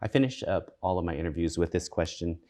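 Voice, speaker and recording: Deep, mellow male interviewer. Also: expressive, quick pace. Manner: brief and encouraging, quiet acknowledgments. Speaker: interviewer, show host. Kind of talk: interview questions.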